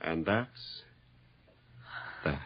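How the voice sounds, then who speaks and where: A commanding scientist, swinging widely in pitch. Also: male voice, lively intonation, slightly rough, full character voice. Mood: cold, grim. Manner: deliberate, instructing, insistent. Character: calculating male scientist, commanding and coldly controlled. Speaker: radio drama actor. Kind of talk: radio drama acting. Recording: dull lo-fi radio drama recording.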